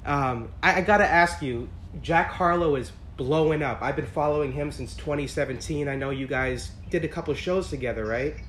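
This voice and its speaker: High-voiced man interview host. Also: moderate pace, hardly any pauses, fairly bright tone, slight background noise. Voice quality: higher pitched voice. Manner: eager, rambling questions with fillers. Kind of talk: casual interview conversation.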